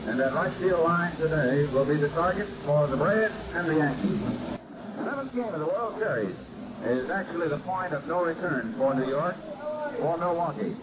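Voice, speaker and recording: American sportscaster man on very noisy old radio. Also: on the high side. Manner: florid, dramatic phrasing with deliberate pauses. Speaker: baseball broadcaster. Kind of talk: measured, grandiloquent 1950s sports radio commentary. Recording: noisy vintage 1950s stadium radio broadcast.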